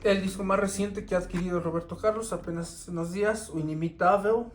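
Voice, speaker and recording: A man, talking casually and unhurriedly through his CD collection, with many pauses and restarts. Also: sits high, fairly even intonation, slight background noise. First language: Spanish. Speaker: record collector.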